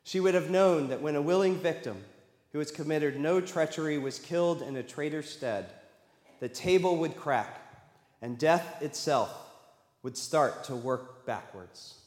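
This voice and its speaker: A man voicing a great male lion king from a storybook, calm, grave and authoritative, with measured delivery. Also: a little high, close to an everyday voice. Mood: solemn. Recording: echoey hall with long reverb.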